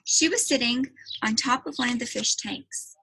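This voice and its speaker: Woman, storybook read-aloud, teacher, high-pitched, gravelly creak, narration. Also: normal speed, sharp tone, low-quality recording. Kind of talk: children's book read-aloud.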